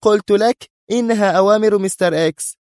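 Man voicing a fierce, high-pitched villainess. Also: fairly quick, no echo, studio quality, natural voice. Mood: angry, defiant. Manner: stern, sharp, emphatic. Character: fierce, domineering female spy villain, sharp-tempered.

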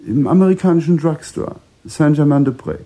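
An actor playing a guarded, evasive man in a crime radio drama, with a husky, mellow voice. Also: fairly high pitch, lively intonation, brisk. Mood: guarded, insistent. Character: guarded, evasive American man caught up in a kidnapping.

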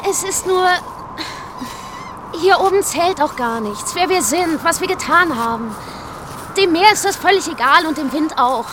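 An actress playing a wistful, coaxing young daughter with a dreamy manner in a radio drama. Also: female voice, extremely high pitch, lively intonation, a little slow. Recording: stormy wind noise behind voice.

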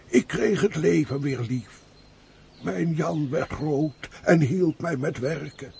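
An actor playing a pious, frail peasant of about seventy in a theatrical radio play, with an aged voice and emotive sighs. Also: male voice, a little high, mellow. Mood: wistful sorrow. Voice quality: creaky, aged voice. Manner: slow, emotive, full of sighs and exclamations. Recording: faint background noise, old radio play.

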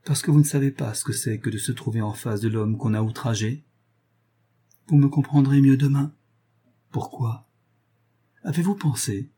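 A man's voice: mid-range voice, steady tone, normal speed, long pauses, mellow, slightly rough. A man reading a novel aloud as a remorseful married noblewoman, grave, reproachful and resolute as she renounces her lover. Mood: bitter reproach.

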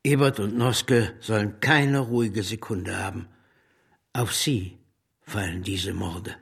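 An actor playing a defiant, resolute communist leader with a husky voice in a radio drama. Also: male voice, on the low side, fairly even intonation, slow, exaggerated voice. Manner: declarative, resolute. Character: defiant, unbowed male communist revolutionary leader. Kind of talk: German radio drama acting.

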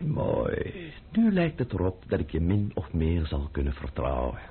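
A man's voice: low-pitched, wildly swooping pitch, narrow, tinny audio, slight background noise, full character voice. A male actor playing a cunning, menacing, mocking man of power in a theatrical radio play. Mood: coolly menacing. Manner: sardonic, theatrical, deliberate.

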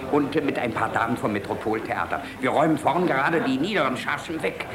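An actor playing a deferential, officious military adjutant in a radio play, clipped and formal. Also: male voice, medium pitch, fairly quick, lightly acted. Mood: officious, eager. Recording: loud crowd behind radio drama. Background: loud crowd.

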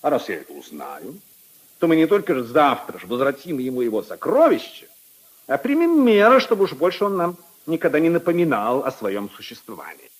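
An actor playing a haughty, indignant aristocratic prosecutor in a pompous, emphatic 1950s radio drama style. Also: male voice, a little high, sing-song, brisk, husky. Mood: brisk, confident. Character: haughty, indignant aristocratic assistant prosecutor, brother-in-law of a prince. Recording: 1950s archive radio recording.

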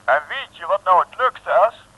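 A high, swooping, jovial police chief. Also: male voice, expressive, moderate pace, pushed and bright, heavily acted. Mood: breezy, pompous. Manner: hearty, chummy, pompous. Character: breezy, jovial male police chief, pompous and careless. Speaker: actor playing a superior officer. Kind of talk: 1960s comic radio serial acting. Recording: muffled old radio recording.

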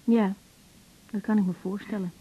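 An actress playing a caring, overworked young doctor in a naturalistic radio drama, talking gently with small hesitations. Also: female voice, mid-range voice, fairly even intonation, moderate pace, husky, lightly acted. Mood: calm, concerned. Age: young adult. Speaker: general practitioner in a radio drama. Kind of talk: naturalistic 1980s Dutch radio drama acting. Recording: slightly dull 1980s radio recording.

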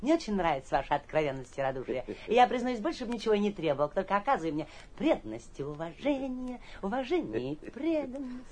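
A woman playing a vain, boastful young gentleman from the capital in a radio play, affected and self-important, with a husky voice. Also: medium pitch, sing-song, fast talker, hardly any pauses. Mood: condescending. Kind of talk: theatrical radio drama acting.

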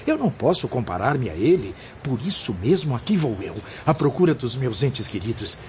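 A man's voice: mid-range voice, very animated, moderate pace, darkish tone, slight background noise, close to an everyday voice. An actor in a radio drama playing an impulsive male spirit who longs for home. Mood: defiant. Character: Mário, impulsive, longing male spirit missing his family. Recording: muffled lo-fi, faint background ambience.

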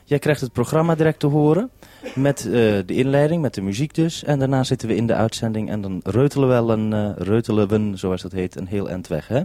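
A man speaks as a radio host on a two-way link, his voice mellow and relaxed. He gives clear, businesslike instructions in a very dry-sounding space. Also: fairly low pitch, brisk, no room sound. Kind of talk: two-way radio call before a broadcast, giving instructions.

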